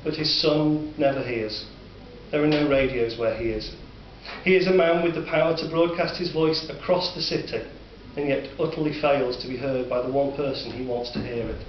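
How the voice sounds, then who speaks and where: A man with a Northern English accent narrating a dark story in a measured, sombre way. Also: medium pitch. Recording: distant, roomy, dull recording with faint hiss.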